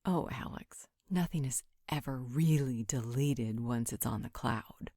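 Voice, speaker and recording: A woman's voice: a bass voice, measured pace, warm tone, dry recording, studio quality, exaggerated voice. A woman playing a teasing, playful mother figure in a supernatural roleplay audio drama. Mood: amused, teasing.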